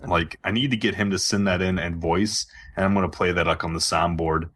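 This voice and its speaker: Deep-voiced man, casual podcast host. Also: steady tone, a little fast, fairly bright tone. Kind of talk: casual gaming podcast chat.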